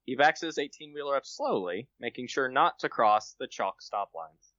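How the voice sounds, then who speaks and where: A man reads his own comic story aloud on a podcast. His voice is bright and sharp, recorded very dry and close, with a slightly lo-fi, dulled edge. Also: mid-range voice, expressive, moderate pace, no echo. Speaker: podcast guest, amateur writer.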